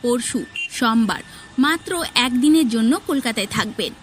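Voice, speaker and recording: A woman playing a hurried reporter friend bringing news in an audio story. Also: on the high side, lively intonation, noisy background, lightly acted. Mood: urgent, informing.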